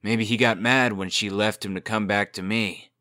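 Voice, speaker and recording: A man's voice: on the low side, steady tone, normal speed, bright, forceful tone, dry recording, studio quality. A man playing a cocky, glib and defensive young fairy-tale trickster in a comic dub drama.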